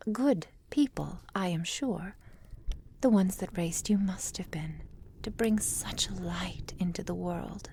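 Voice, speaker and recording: A woman playing a proud, lovelorn immortal witch in an intimate ASMR roleplay, speaking softly and breathily in formal, deliberate phrases, often in a whisper. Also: fairly low pitch, a little hiss or hum. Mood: tender, sorrowful. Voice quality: soft, breathy, frequently whispered.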